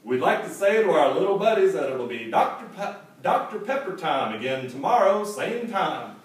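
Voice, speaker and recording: A man voicing a folksy old-time male radio announcer pitching soda in a hearty, booming sales-pitch manner, caught from a distance in an echoey hall. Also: very animated, moderate pace. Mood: jovial. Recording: echoey hall, distant mic, faint hiss.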